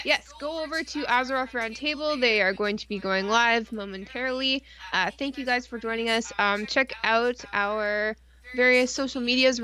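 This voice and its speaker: A woman's voice: a little high, sharp tone. A woman co-hosting a casual live stream, bantering in an irreverent, rambling, sweary way. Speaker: podcast co-host on a live stream.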